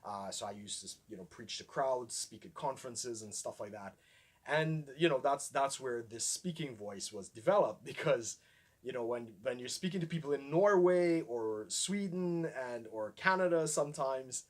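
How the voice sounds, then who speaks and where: A man's voice: mid-range voice, normal speed. Trinidadian man with projected voice. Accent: light Trinidadian. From Trinidad and Tobago. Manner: clear projected speaking voice, frequent ums and laughs. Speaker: vlogger. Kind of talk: casual to-camera vlog.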